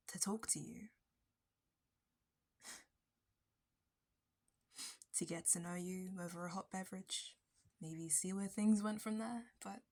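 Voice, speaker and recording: A woman performs a lovesick, possessive female barista turned kidnapper for an ASMR roleplay. Her medium-pitched voice is intimate and breathy, often sinking toward a whisper. She sounds wounded and unhinged, with bitterness simmering under the softness. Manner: intimate, breathy dropping into whispers, nervous laughs and sudden bitter flares.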